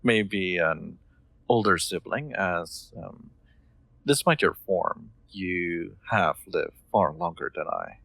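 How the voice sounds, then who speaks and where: A man playing a kind, soft-spoken master in an intimate comfort roleplay, gently reassuring with formal, slightly hesitant phrasing. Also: low-pitched, expressive, slow, gravelly, dry recording, heavily acted. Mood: gentle, earnest.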